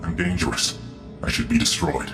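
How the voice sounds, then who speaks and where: An actor playing a tormented, fatalistic cyborg agent in a video game, with a strained, clipped delivery. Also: male voice, a bass voice, normal speed, vocal fry, music in the background. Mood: grim resolve. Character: tormented cyborg agent turned against his will, grim, fatalistic.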